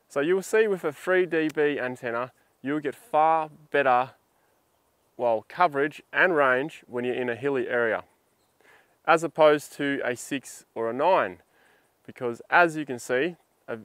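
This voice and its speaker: A man's voice: medium pitch, fairly bright tone, clean tone, no echo. An Australian man giving an informal instructional explanation in a plain-spoken, colloquial, practical way. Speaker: instructional video presenter.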